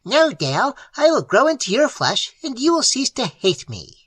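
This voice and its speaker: A reader voicing a small, malicious male demon familiar who taunts his master in a shrill, gleeful, mocking way. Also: very animated, moderate pace, a bit bright, husky, no room sound, exaggerated voice.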